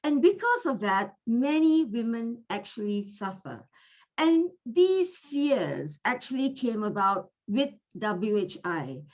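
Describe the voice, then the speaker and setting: A woman from Malaysia with a Malaysian accent, giving an online medical lecture in an unhurried, chatty way with fillers, over a video call. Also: on the high side, big pitch swings. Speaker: guest lecturer, gynecologist. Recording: dull lo-fi audio.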